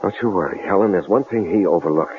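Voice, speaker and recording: An actor playing a tense, protective husband, earnest and resolute, in an old-time radio drama. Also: male voice, low-pitched, quick pace, lightly acted. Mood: resolute, reassuring. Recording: noisy, lo-fi phone-like radio recording.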